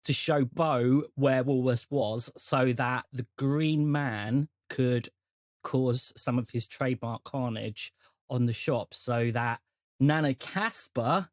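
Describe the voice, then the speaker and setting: A man's voice: medium pitch, slow pace, no echo, studio quality. A man hosting a tabletop role-playing podcast in casual chat, with a jokey, teasing manner. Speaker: host and game master of a role-playing podcast. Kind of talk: casual chat introducing and recapping a game.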